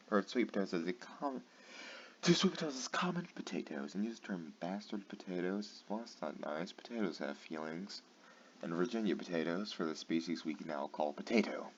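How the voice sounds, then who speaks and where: Tired low-voiced man reading sarcastically. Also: big pitch swings, moderate pace. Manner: stumbling reading, sarcastic asides, swearing. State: tired late at night. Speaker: channel host. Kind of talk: comedic reading aloud. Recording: dull lo-fi with faint noise.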